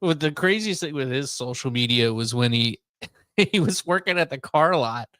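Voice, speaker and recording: A man hosting a casual talk radio show, chatting in an easygoing, wry, measured way. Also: medium pitch, lively intonation, a bit bright, no room sound, studio quality.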